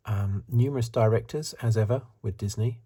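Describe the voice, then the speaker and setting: A man giving soft-spoken, half-whispered ASMR commentary in a husky, breathy voice, musing and rambling as he goes. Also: fairly low pitch, normal speed. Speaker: ASMR reviewer.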